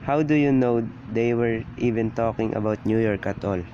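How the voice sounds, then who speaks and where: A man's voice: medium pitch, moderate pace, warm tone, lo-fi sound, a little hiss or hum, lightly acted. A man playing a skeptical, patronizing police desk officer in a radio play, matter-of-fact and dismissive.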